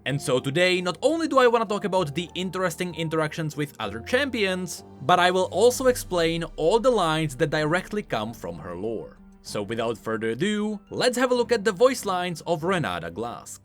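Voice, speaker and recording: Animated man explaining game lore. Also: sits high, normal speed, hardly any pauses, faint music behind. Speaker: video game lore commentator. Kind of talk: scripted explanatory commentary.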